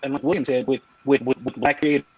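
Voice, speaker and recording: A man's voice: mid-range voice, flat delivery, quick pace, breathy edge, muffled recording. A man on a comics panel who presses his point, breaking in with repeated "but" and asking pointed questions. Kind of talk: casual panel discussion.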